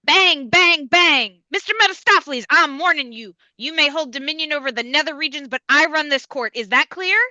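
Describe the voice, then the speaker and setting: Woman playing a stern, high, piercing courtroom judge. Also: normal speed, hardly any pauses, bright, forceful tone, dry recording. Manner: authoritative, formal. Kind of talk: live comedic table read of a sketch.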